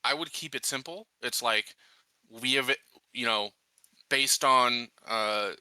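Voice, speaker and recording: A man talking casually as a player in a tabletop roleplaying game, thinking aloud in a halting, hesitant way. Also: medium pitch, sharp tone, smooth, no room sound.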